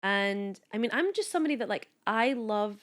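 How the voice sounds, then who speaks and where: A woman in her late thirties who grew up in Kuwait, talking as a podcast guest. She chats in a lively, rambling way, full of "like"s and restarts, with a pitch in the middle range for a woman. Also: normal speed. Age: late 30s. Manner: chatty and animated, many "like"s and restarts. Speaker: podcast guest, wellness coach. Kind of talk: casual chat.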